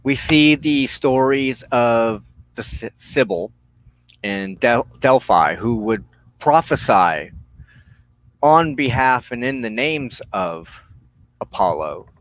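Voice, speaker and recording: A man giving a spiritual teaching monologue as a broadcast host, solemn and deliberate, speaking in halting phrases with pauses. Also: medium pitch, lively intonation, a bit bright, rough recording. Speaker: religious teacher hosting a broadcast on witchcraft.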